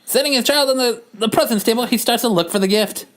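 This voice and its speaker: A woman's voice: a little high, quick pace. A woman narrating scene directions as part of a dramatic comic-book reading on a podcast.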